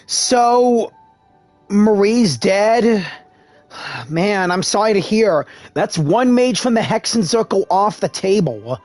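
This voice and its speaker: A gaming video creator giving casual, expressive playthrough commentary and reacting informally to the story. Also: mid-range voice, slow, no room sound, faint music behind.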